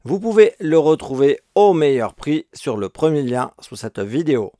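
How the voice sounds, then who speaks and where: A man's voice: fairly high pitch, sing-song, normal speed, dark tone, no echo. Man narrating in a dry studio sound. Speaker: product review narrator. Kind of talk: scripted voice-over narration.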